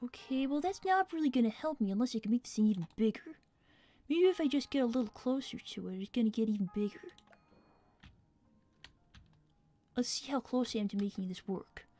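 A woman's voice: on the high side, expressive, quick pace, dark tone, lightly acted. A woman voicing an earnest, clever young boy fox who chats candidly while thinking aloud on a gaming livestream. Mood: frustrated. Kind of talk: in-character gaming livestream chat.

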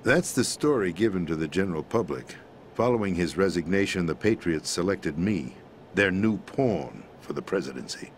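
A grave, low-voiced president. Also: male voice, animated, slight background noise. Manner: measured, grave, explanatory. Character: calm, grave middle-aged man, president briefing via codec. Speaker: president explaining a conspiracy. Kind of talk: video game cutscene voice acting.

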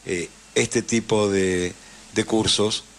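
A man with an Argentine Rioplatense accent hosting a casual radio interview, speaking haltingly as he searches for words. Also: on the low side, fairly even intonation, fairly bright tone. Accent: Argentine Rioplatense Spanish. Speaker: radio host.

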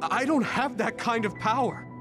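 A young actor voicing an earnest, indignant young hero in an anime-style video game, a principled and defiant younger brother. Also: male voice, extremely high pitch, lively intonation, normal speed. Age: young adult. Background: dramatic background music.

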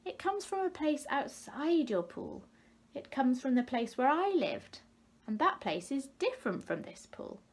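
A woman's voice: sits high, very animated, unhurried, voice pushed up, exaggerated voice. A woman reading a storybook as a plucky, outspoken, indignant young male little fish. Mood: challenging.

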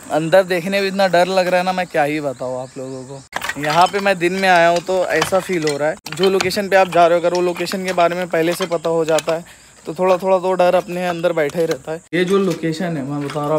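A man vlogging as he explores an abandoned school by day, talking in an excited, spooked way and mixing in English words. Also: on the high side. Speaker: vlogger exploring a haunted place. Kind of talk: casual walk-through vlog. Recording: loud insect and cricket noise behind.

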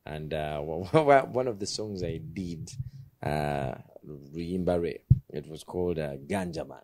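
A man's voice: sits low, animated, a little slow, vocal fry, darkish tone, no room sound. A man in his thirties with a Ugandan accent, hosting a TV studio interview and mixing English and Luganda in an upbeat way. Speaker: talk show host. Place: indoor TV studio set. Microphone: handheld mic.

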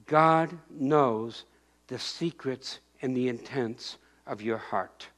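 A man's voice: mid-range voice, takes it slowly. An older man preaching a sermon in a church, moving about and raising his voice in bursts. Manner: animated preaching, shouting acted-out crowd voices and pointing. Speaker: pastor. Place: church sanctuary.

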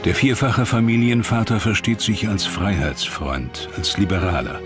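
A man narrating a documentary from a script in a measured, formal, dramatic way. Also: lowish voice, lively intonation. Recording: clear music bed behind voice.

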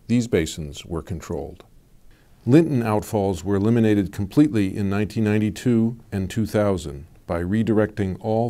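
A man narrates an explanatory video in a measured, even, scripted manner. His voice sits fairly low and has a dark, mellow tone. He sounds like a studio voice-over. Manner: measured, even informational delivery.

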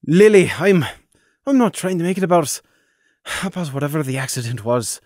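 Man as hesitant young stallion, apologetic, stammering, lively pitch, dry close sound. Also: moderate pace, no room sound, close to an everyday voice. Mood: pleading. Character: young stallion, anxious and apologetic older brother.

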